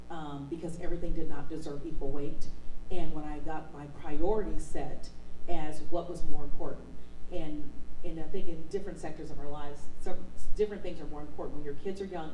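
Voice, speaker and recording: A woman speaking on a church panel, sharing in a measured, convinced way. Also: low voice, mellow. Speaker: panelist at a church mothers' event. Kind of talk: reflective panel talk. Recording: roomy echo, mic at a distance, faint noise.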